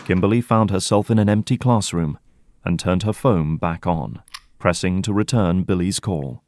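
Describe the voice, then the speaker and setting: A man with a fairly low voice narrates an adventure audio drama. His delivery is scripted, deliberate storytelling with a dramatic edge. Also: noisy background. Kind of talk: dramatic scripted narration in present and past tense.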